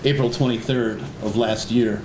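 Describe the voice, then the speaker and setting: A man's voice: medium pitch, fairly even intonation, normal speed. A man chairing a town board meeting and giving a report. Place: indoor public meeting room. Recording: echoey meeting room with clear background noise.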